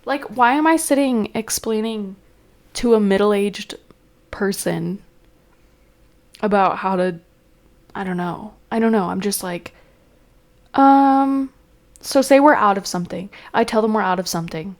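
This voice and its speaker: A woman's voice: mid-range voice, measured pace, pauses often. Congested young woman venting. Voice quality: rambling, frequent fillers. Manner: chatty, venting, exasperated. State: congested, sniffling. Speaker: vlogger. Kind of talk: casual chat.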